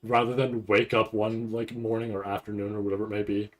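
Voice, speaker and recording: A man giving casual, rambling live commentary as a streamer, halting and restarting often as he thinks aloud. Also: fairly low pitch, little pitch movement, rough recording. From Canada. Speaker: streamer reacting to a live anime event.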